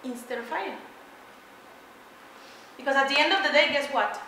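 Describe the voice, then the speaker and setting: A lively woman from Mexico, middle-aged, addresses a roomful of students from the front of a big lecture hall, her bright, forceful voice leaping up on key words. She is caught from across the room, so it rings with a strong echo. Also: high voice, moderate pace, slight background noise. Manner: energetic, emphatic, laughs often, walks while talking. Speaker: keynote speaker. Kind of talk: motivational presentation to students. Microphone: far room pickup. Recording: echoey hall from far off.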